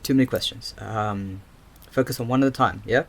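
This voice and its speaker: A man playing a restless, overthinking investigator with magical sight in an audio drama, muttering haltingly to himself. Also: lowish voice, gravelly. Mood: puzzled, frustrated.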